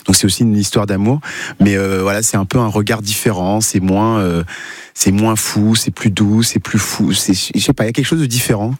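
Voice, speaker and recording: A man's voice: on the low side, steady tone, a little fast, no echo. A man whose first language is Portuguese, a musician guest in a radio interview, thoughtful and searching for words with many fillers.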